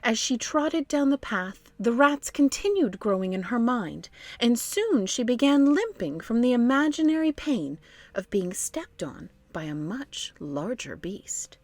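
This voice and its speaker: A woman's voice: fairly high pitch, big pitch swings, unhurried, dry recording. A woman hosting a children's read-along, narrating expressively and speaking directly to young listeners. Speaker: storytime host and narrator.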